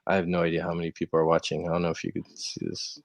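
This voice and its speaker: A man's voice: deep voice, completely flat delivery, rapid-fire, creaky, a crowd in the background. A young American man hosting a casual livestream, rambling along in an easygoing, slangy way. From United States. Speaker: livestream host. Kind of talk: casual chat. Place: rented room at home.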